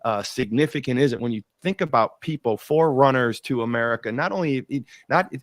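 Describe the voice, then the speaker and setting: A man's voice: mid-range voice, fairly quick, dry recording. A man hosting an interview podcast, talking enthusiastically and rambling with many restarts. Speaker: podcast host. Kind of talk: interview host, casual chat.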